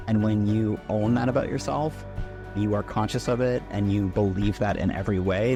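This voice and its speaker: A man speaking in a deliberate, earnest way in an interview testimonial. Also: lowish voice, gravelly. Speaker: employee.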